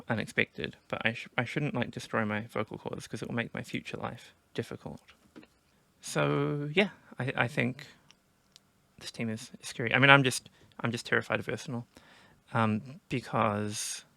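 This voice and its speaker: A man going hoarse from a sore throat, giving casual commentary on his team draft and thinking aloud with many restarts. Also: medium pitch, normal speed, pushed and bright, no room sound. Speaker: gaming video creator.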